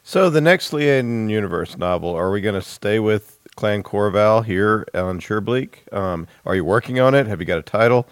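A man's voice: fairly low pitch, expressive, moderate pace, hardly any pauses, clean tone, dry recording, studio quality. A man hosting a book podcast, interviewing and announcing in a conversational presenter's style.

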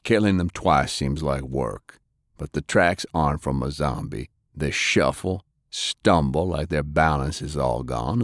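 A man acts out a hulking, plain-spoken war veteran in a pulp audiobook. The voice is very deep and gruff, with terse, folksy delivery. The sound is close and dry. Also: no echo. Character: big gruff plain-spoken country-bred American war veteran detective.

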